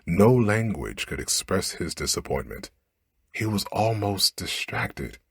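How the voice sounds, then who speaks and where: A man's voice: sits low, big pitch swings, measured pace, vocal fry, fairly bright tone, breathy edge, no echo. A man narrating an ASMR bedtime story, reading expressively into a close microphone.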